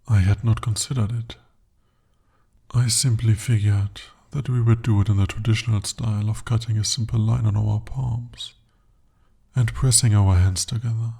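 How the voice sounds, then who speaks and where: A man voicing a devoted, possessive male demon in an ASMR romance roleplay, speaking softly and formally. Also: fairly low pitch, creaky, breathy edge, heavily acted. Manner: soft, intimate, formal speech. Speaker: voice actor.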